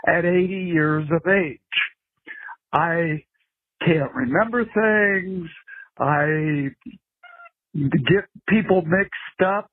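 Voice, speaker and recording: A man in his eighties leaving a recorded phone message, speaking in a deliberate, emphatic way. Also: a little high, slightly rough, no room sound. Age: 80s. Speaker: caller. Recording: tinny phone line.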